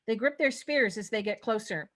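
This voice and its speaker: A woman's voice: a little high, normal speed, fairly bright tone. A woman reading stage directions as the narrator at a script table read.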